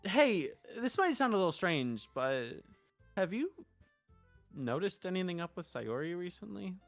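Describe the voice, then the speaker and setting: A woman's voice: fairly low pitch, measured pace. A woman streaming a game, switching between casual, sweary chat and read-aloud lines, with music behind her. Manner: sweary, expressive.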